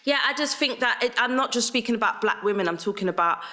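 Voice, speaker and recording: A woman's voice: a little high, expressive, quick pace, bright, forceful tone. A woman speaking earnestly in a casual panel discussion. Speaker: panel host.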